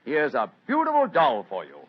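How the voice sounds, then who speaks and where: An actor playing a brisk, brilliant gentleman detective in an old radio play, crisp and commanding, on a dull lo-fi recording. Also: a little high, wildly swooping pitch, a crowd in the background. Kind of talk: 1940s radio acting.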